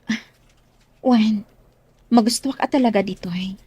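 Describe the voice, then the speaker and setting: An actress playing a gentle, affectionate young woman in an Ilocano radio drama, speaking softly and coyly with an Ilocano accent. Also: medium pitch, normal speed, breathy edge, dry recording. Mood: pleasant.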